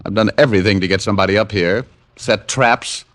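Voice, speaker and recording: Man playing a wisecracking, low-voiced radio private eye. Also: brisk, a bit bright, no room sound, natural voice. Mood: joking, flirtatious. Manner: breezy, joking, glib banter. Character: wisecracking, flirtatious male private detective. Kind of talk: 1940s-50s radio drama acting.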